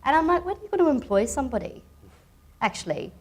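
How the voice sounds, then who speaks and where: A woman's voice: high-pitched, sing-song, fast. A woman on a discussion panel making her point emphatically with rhetorical questions.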